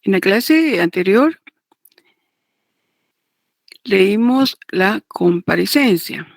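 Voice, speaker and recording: A woman giving a didactic lecture, partly read aloud, in a deliberate, repetitive manner. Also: medium pitch, lively intonation, gravelly, no echo. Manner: deliberate, repetitive, checks understanding with tag questions. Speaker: law lecturer teaching notarial law.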